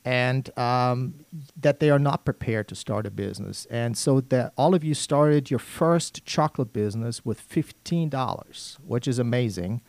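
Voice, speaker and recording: A man with a German accent hosts a live radio talk show in a studio. He sounds upbeat and chatty and laughs often. His voice sits at an average pitch, and the sound is dry and close. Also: normal speed, a little mellow, no room sound.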